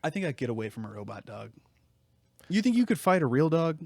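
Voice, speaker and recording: Joking man, slightly high voice, close dry sound. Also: sing-song, fast, vocal fry, a little mellow, no room sound. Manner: slangy, profane, jokey exaggeration. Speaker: podcast co-host; delivery worker. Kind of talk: casual joking podcast chat.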